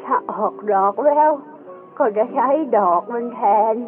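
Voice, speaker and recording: A woman's voice: a little high, a little slow, lightly acted. A woman playing a kindly elderly grandparent who patiently explains things in a folksy, instructive way in an educational radio drama. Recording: tinny band-limited audio with music behind.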